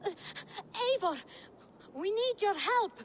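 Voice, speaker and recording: A woman's voice: extremely high pitch, lively intonation, slow pace, slight background noise, heavily acted. A woman playing a timid, earnest little boy in a video game, hesitant and sincere. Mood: anxious, urgent. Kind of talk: video game cutscene acting.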